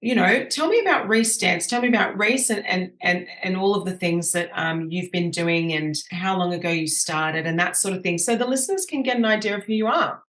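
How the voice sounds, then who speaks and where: Slightly raspy Australian woman host. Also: on the low side, fairly quick, few pauses, fairly bright tone, echoey room. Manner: chatty, upbeat, restarts often. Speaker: podcast host. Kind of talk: casual interview chat.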